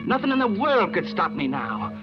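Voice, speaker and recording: A man's voice: high voice, huge pitch swings, normal speed. An American man acting a scheming, jittery husband who narrates his crime in slangy, intense 1940s radio thriller style. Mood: triumphant. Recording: muffled vintage radio recording with music.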